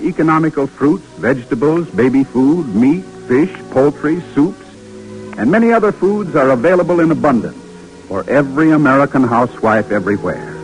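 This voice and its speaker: A man reads the closing narration and credits of an old radio program. His delivery is formal and measured, with a lively swing in pitch. Faint music plays under his voice. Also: low-quality recording. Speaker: radio announcer. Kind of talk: scripted narration.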